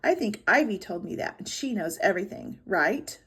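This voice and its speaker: A woman's voice: mid-range voice, big pitch swings, normal speed, fairly bright tone, husky, full character voice. A woman reading the part of an indignant eight-year-old little brother, sulky and defiant, in a children's storybook.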